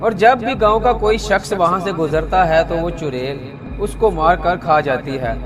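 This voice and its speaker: A man narrating a horror folk tale as a storyteller. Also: high-pitched. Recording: music behind.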